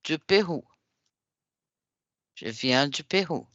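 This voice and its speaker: A man's voice: a little high, expressive, slow, fairly bright tone, no echo, muffled recording. A Brazilian man whose first language is Portuguese, teaching a French class and patiently correcting and repeating his students' answers over an online call. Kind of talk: language class drilling questions.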